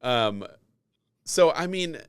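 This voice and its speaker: A man's voice: fairly high pitch, lively intonation, slow pace, smooth. Male podcast host, clean resonant voice, informal and rambling conversational delivery. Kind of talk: casual tech podcast chat.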